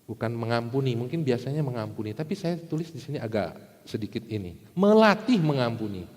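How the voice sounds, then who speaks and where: Male preacher, animated intonation, conversational sermon delivery, rhetorical questions, long hall reverb. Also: mid-range voice, a little fast. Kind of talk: sermon to a congregation. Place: large indoor church hall.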